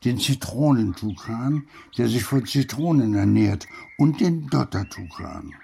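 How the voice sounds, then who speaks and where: An actor playing a gruff old grandfather who tells fanciful tales in an audiobook, with a gravelly voice. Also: medium pitch, lively intonation, unhurried, warm tone, heavily acted. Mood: playful, storytelling. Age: older. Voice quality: gravelly, husky, creaky. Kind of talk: acted audiobook dialogue.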